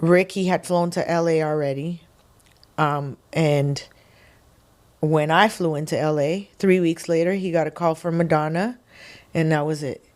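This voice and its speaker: A woman's voice: deep voice, a little slow. A woman from Quebec, a singer-songwriter guest, telling stories in a casual interview and acting out quotes with laughs. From Quebec, Canada. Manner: animated storytelling, acts out quotes with laughs.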